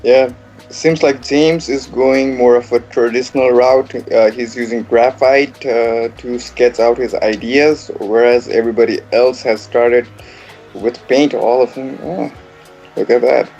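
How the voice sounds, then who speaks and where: A man comments casually and excitedly on painters at work, often saying "like". His voice is in a middle range. Soft music plays under him. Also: medium pitch, measured pace, muffled recording. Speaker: co-host and former contestant. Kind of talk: live online commentary.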